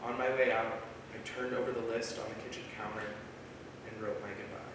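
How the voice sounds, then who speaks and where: A man's voice: fairly low pitch, flat delivery, fairly quick, natural voice. A man playing a self-assured, eager young writer in a staged script reading. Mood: soft, reflective. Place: small theater, recorded from the audience. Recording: distant, reverberant noisy theater recording.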